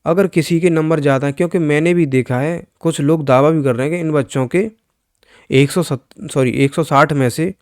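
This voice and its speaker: A man's voice: a little high, normal speed, a little mellow, no room sound, studio quality. A man presenting exam news as an informal explainer, instructing viewers directly and often repeating himself. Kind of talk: informal explainer commentary over on-screen figures.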